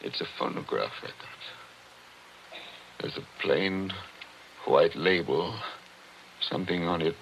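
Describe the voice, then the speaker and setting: An actor playing a skeptical, methodical older man haunted by his past, deliberate and hesitant, in 1940s radio drama style. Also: low voice, creaky, full character voice. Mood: tense, hesitant. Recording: faintly noisy old radio recording.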